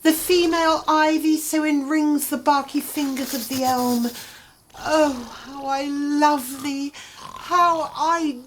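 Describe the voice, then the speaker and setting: A woman's voice: sits high, fairly even intonation, slow, a little hiss or hum, poor audio. A woman playing a regal, besotted fairy queen in a theatrical Shakespearean radio play. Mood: doting. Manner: theatrical, ornate verse delivery.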